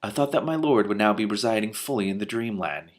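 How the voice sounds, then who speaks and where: A man's voice: on the low side, fast talker, close to an everyday voice. A man voicing a nervous old lore master. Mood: anxious. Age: older. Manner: tremulous and deferential.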